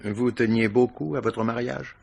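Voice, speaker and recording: A man acting a seasoned police chief in a French radio mystery play, paternal and probing, with hesitant, drawling interjections and a gravelly voice. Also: medium pitch, steady tone, unhurried, darkish tone.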